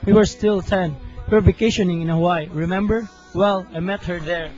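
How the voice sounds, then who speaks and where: A man's voice: high voice, fairly even intonation, brisk, darkish tone, lightly acted. A young man playing a lovesick, self-sacrificing hero in a student radio drama, earnest and melodramatic. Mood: earnest, defiant. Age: young adult. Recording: muffled amateur recording, music behind.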